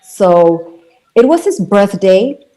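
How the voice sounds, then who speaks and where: A woman's voice: medium pitch, big pitch swings, slow pace, dark tone. Chatty woman on a video call. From Ethiopia. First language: Amharic. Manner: talkative, laughs easily, restarts sentences. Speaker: guest, singer and speaker. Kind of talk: casual, chatty interview answers.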